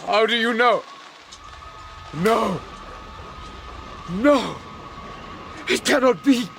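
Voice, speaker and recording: A man's voice: very high-pitched, animated, extremely slow, gravelly, a bit bright, a little hiss or hum, heavily acted. A man playing an anguished, guilt-ridden young scientist, theatrical and impassioned, in a dramatised audiobook. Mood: horrified, frantic. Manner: melodramatic, impassioned, archaic phrasing.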